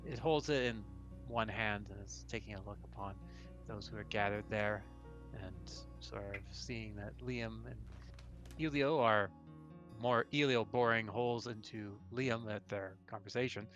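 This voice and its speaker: A man's voice: fairly low pitch, a bit bright, dull audio. A man improvising descriptive narration in a tabletop role-playing game, feeling his way through the scene. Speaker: game player.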